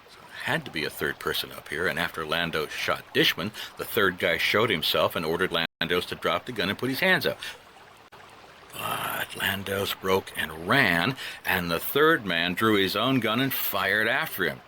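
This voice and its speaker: Dogged private detective for radio drama: low, very forceful, deliberate, accusatory. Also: male voice, lively intonation, a little hiss or hum, exaggerated voice. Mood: accusing. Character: shrewd, dogged male private detective confronting a liar.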